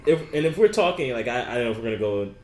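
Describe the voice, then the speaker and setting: A man's voice: mid-range voice, animated, very fast, a little mellow, a little hiss or hum. A man co-hosting a casual podcast chat, laying out his view in a laid-back, explanatory way. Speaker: podcast co-host. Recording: echoey room.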